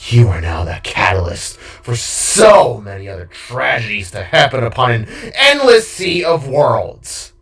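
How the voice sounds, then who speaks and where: A man performs a fallen villain king in an audio drama, mocking and gleefully unhinged. He drags out his words in a groggy, hissing ramble that swoops widely in pitch, with music playing underneath. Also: big pitch swings, unhurried, few pauses, a bit bright, far from the mic. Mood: gleeful, menacing.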